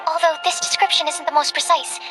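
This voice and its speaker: A woman's voice: sits high, steady tone, quick pace, lightly acted. A woman playing an earnest, bookish young female alchemist in an anime-style video game, polite and easily flustered. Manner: earnest, formal, hesitant when flustered. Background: music.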